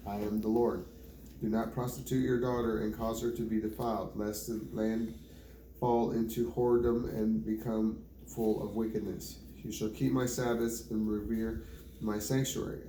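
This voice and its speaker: A man reading scripture aloud in a plain, measured way, with occasional small stumbles. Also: on the low side, mellow. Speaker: Bible reader. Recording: roomy home recording with faint background noise.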